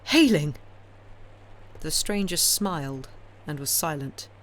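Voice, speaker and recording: A woman reading classic fiction aloud as an audiobook narrator, in a measured, expressive, dramatic storytelling style. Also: low voice, rough recording. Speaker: audiobook reader.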